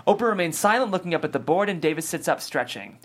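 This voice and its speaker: A man's voice: a little high, expressive, fast talker. A man reading scripted stage directions as the narrator at a table read.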